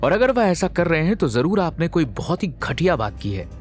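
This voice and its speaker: A protective young husband in an audio drama, outraged and confrontational. His voice is raised in pitch and swings expressively, with music underneath. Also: very animated, a little fast. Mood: demanding, upset. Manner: heated, confrontational. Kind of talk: Hindi audio drama acting.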